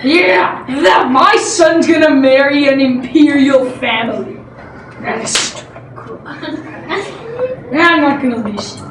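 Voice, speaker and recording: A female amateur performer playing a proud, disbelieving male magistrate in readers theatre, speaking in a halting, blustering, repetitive way. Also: high-pitched, lively intonation. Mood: outraged, blustering. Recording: noisy echoey room from far off.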